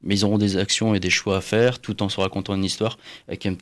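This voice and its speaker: A man's voice: on the low side, little pitch movement, quick pace. A man explaining casually as a panelist on a board game show, feeling for his words.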